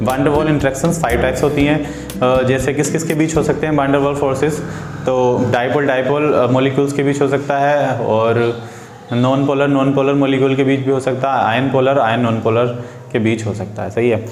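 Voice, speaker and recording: A man's voice: medium pitch, flat delivery, normal speed, darkish tone, soft background music. A man with an Indian accent teaching informally at a board as a science teacher, repeating key phrases and quizzing his listeners with questions. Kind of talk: informal board lecture for students. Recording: echoey room with faint background noise.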